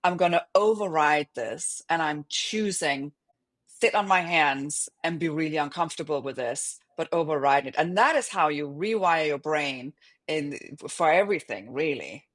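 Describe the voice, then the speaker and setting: A woman's voice: sits low, animated, normal speed. Middle-aged woman from Denmark, conversational. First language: Danish. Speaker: podcast guest, author and yoga teacher. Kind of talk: conversational interview talk.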